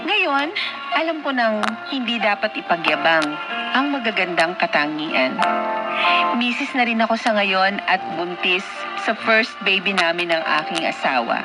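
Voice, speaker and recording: A woman's voice: mid-range voice, animated, measured pace, loud music behind the voice, natural voice, poor audio. A woman with a Filipino accent acting a gracious young heiress in a Tagalog radio drama. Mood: reflective. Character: Julia, young Filipina heiress, once haughty, now gracious.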